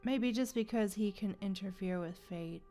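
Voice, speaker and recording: A woman's voice: mid-range voice, normal speed, dark tone, soft background music. A woman livestreaming a game, chatting casually and musing aloud in an informal, slangy way. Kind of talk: casual live stream chat and commentary.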